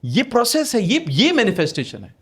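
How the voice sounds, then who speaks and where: A man's voice: sits high, wildly swooping pitch, normal speed, fairly bright tone, breathy edge. A man on a podcast as a guest author, explaining ideas emphatically with rhetorical questions and English phrases mixed into his talk.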